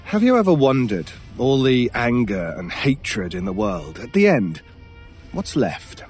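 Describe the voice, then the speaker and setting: A world-weary British stranger in an audio horror drama. He speaks slowly and broodingly, with wry asides and weighty pauses, like someone haunted. Soft music runs behind him. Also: mid-range voice, big pitch swings. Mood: grave, brooding.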